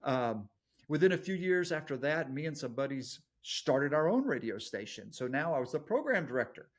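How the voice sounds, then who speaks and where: Middle-aged east texas guy, bit high-pitched, cracks jokes at himself, calls people dude. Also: sing-song, normal speed. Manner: self-deprecating, jokey, says "dude". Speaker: guest. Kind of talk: casual storytelling interview.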